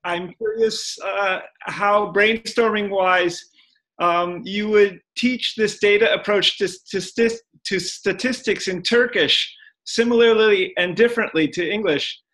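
A man in the audience of a webinar asking a question haltingly over a video call. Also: high-pitched, sharp tone. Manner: hesitant, halting.

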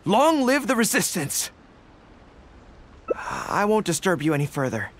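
A man playing a caring, steadfast young dog-eared general in a video game, sincere and gently reassuring. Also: sits high, sing-song, unhurried, heavily acted. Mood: resolute, reassuring. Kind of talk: scripted video game voice acting.

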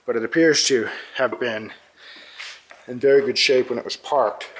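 A man filming a walkthrough of an old barn, narrating in a calm, matter-of-fact way with pauses, recorded on a handheld camera inside a metal barn. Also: mid-range voice, husky, rough recording. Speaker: vlogger filming a barn find. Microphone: handheld camera mic.

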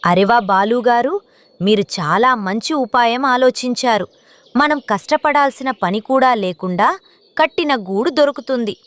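A woman's voice: on the high side, unhurried, no room sound, natural voice. A woman voicing a haughty, conniving female crow wife in a children's cartoon story. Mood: admiring.

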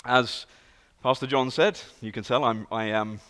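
A man's voice: medium pitch, measured pace. British man preaching in a reverberant church. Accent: British, London. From England. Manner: earnest, storytelling, lively emphasis. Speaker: guest speaker. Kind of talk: sermon. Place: large church sanctuary. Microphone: handheld and stage mic. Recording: long hall reverb.

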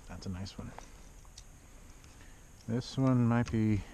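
A man's voice: medium pitch, takes it slowly. A middle-aged man giving casual running commentary while kayak fishing, talking in short, plain-spoken phrases. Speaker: kayak angler and video host. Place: outdoors in a kayak on a lake. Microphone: action camera mounted on body or kayak. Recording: dull muffled action-camera audio, loud outdoor noise.

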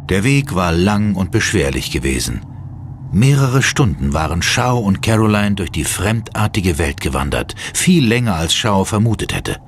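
Deep-voiced male horror narrator. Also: sing-song, a little hiss or hum. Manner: measured, suspenseful storytelling. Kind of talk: scripted horror audio drama narration.

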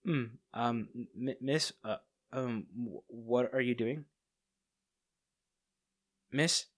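A man's voice: medium pitch, extremely slow, reverberant, long reverb tail. A man playing a stern, exasperated bodyguard with secret ill intent in a scripted audio roleplay. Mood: flustered. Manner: polite to her, muttering dryly in asides. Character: stern, exasperated young male bodyguard secretly plotting against his charge.

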